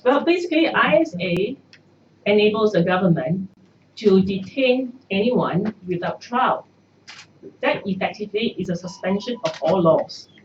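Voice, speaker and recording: A middle-aged woman, a native Cantonese speaker, answering press questions as a party candidate in a measured way with hesitations. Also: mid-range voice, animated. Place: party office press room. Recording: echoey room, far mic, dull noisy.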